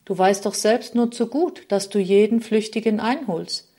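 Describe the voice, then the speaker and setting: A woman voicing a small, gentle old woman named Hope in a storybook, speaking softly and comfortingly. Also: mid-range voice, moderate pace, slightly rough, voice pushed up, lightly acted. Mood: calm. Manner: soft, tender, comforting.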